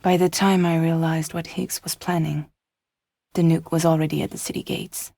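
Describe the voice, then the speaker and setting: An actress playing a guarded, wry woman courier in a post-apocalyptic video game, with soft, breathy, measured and deadpan delivery. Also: low-pitched, no echo, clean studio sound. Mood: grim recounting. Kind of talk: cinematic video game acting.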